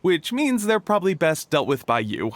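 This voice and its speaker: A man's voice: a little high, big pitch swings, moderate pace, very noisy. A male actor playing an affable, humble shop assistant in a video game, easygoing and slightly awkward. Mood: humble, candid. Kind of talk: video game cutscene acting.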